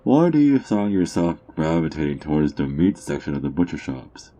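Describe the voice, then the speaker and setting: A man playing a sly, mocking underground drug dealer in an ASMR roleplay, teasing and smug, with theatrical drawn-out words. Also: extremely low pitch, warm tone. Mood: menacing, gloating. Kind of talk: ASMR character roleplay monologue.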